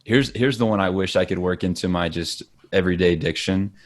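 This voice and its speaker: A man's voice: low voice, brisk. A man from Arkansas co-hosting a podcast, chatting enthusiastically and telling stories. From Arkansas, USA. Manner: enthusiastic, storytelling, frequent fillers. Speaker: podcast co-host. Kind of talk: casual podcast chat.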